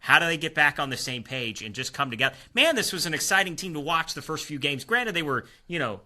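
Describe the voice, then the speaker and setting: A man's voice: a little high, expressive, quick pace, pushed and bright. A man with an American accent talking sports casually and emphatically as a panelist, repeating phrases to drive points home.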